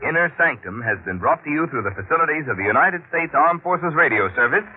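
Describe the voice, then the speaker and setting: A man's voice: on the high side, animated, quick pace. A man working as an announcer, reading in a formal 1950s radio style. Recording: tinny old radio broadcast, music behind.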